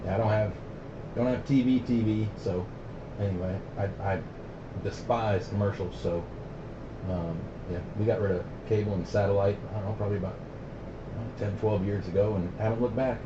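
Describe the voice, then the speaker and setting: A middle-aged American man with a lowish voice chats casually over CB radio. The audio is dull and roomy with clear noise, and he talks in a plain-spoken, opinionated way with radio slang. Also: steady tone, normal speed. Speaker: CB radio operator hosting a radio night. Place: CB base radio station.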